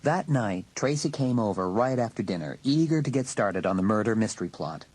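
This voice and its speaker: Husky male story narrator. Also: on the low side, lively intonation, brisk, a little mellow. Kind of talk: scripted audiobook narration.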